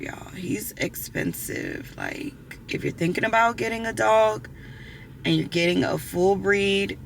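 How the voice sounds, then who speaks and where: A woman vlogger with a hoarse voice as she gets over losing it, chatting casually to camera, often clearing her throat. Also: lowish voice, a bit bright, a little hiss or hum. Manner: chatty, rambling with many fillers and throat clearing. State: hoarse, recovering from lost voice.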